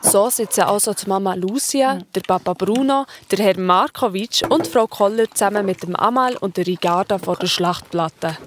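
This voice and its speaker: Swiss German female storyteller, medium pitch. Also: moderate pace, hardly any pauses, noisy background. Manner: colloquial, present-tense storytelling. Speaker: narrator. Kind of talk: scripted children's story narration.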